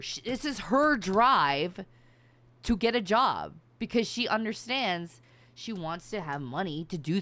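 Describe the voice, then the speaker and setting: A middle-aged woman streamer chatting casually and emphatically, with plenty of swearing. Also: mid-range voice. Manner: animated, emphatic, swears freely. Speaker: VTuber streamer. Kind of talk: casual livestream chat.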